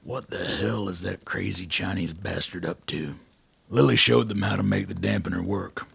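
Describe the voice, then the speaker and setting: An actor playing a blunt, weary army colonel in a radio drama, gruff and terse, with a gravelly, husky voice. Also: male voice, sits low, moderate pace, a bit bright, no room sound, full character voice. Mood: terse, wary.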